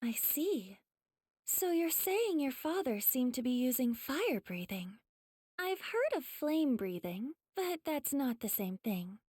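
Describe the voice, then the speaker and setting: A high, very lively young woman's voice for an anime game mentor. She is gentle and polite, with a teasing sweetness. The sound is close and dry. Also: takes it slowly, breathy edge, no room sound, exaggerated voice. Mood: calm, kind. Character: gentle, encouraging young female demon slayer mentor.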